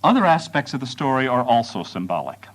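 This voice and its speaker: Male lecturer, mid-pitched voice, very animated intonation, deliberate phrasing, emphatic pauses, prepared talk.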